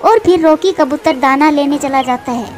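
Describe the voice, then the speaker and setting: A woman's voice: sits high, normal speed, a little mellow. A woman narrator reading a scripted children's moral story for a cartoon.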